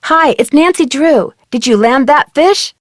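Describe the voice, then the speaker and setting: A woman playing a polite, earnest young amateur detective in a video game, speaking clearly and with determination. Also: high-pitched, lively intonation, unhurried, no room sound, studio quality. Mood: polite, friendly.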